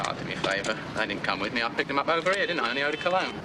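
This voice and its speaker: A man's voice: mid-range voice, sing-song, rapid-fire, noisy background, lightly acted. A man playing a cheeky Cockney band leader. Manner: cheeky and jokey. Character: cheeky Cockney male band manager, wisecracking. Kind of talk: 1970s TV drama acting.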